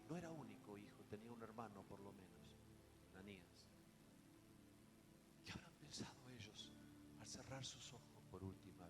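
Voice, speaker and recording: A man with a Rioplatense Spanish accent preaching a sermon, dramatic, with rhetorical questions and emphatic repetition. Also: lowish voice. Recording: echoey hall, music behind the voice.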